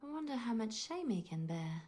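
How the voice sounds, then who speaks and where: A woman's voice: fairly high pitch, sing-song, normal speed, mellow. An actress playing a playful, confident young female bard and rogue in a game cutscene. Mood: amused, cool. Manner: playful, cool, assured. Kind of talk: video game cutscene acting. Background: music.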